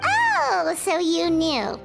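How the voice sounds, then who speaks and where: A very high, chirpy little fairy companion, excitable and blunt, her voice bouncing all over. Cartoonish game dialogue over music. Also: drawn-out words, creaky, heavily acted. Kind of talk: game voice acting.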